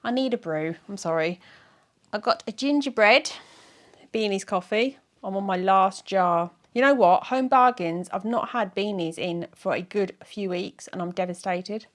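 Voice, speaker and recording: Middle-aged woman, croaky from a sore throat, chatty, giggly vlogger. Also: mid-range voice, normal speed. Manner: chatty, giggly, self-mocking, rambling with fillers. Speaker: weight-loss vlogger. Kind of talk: casual chat to camera.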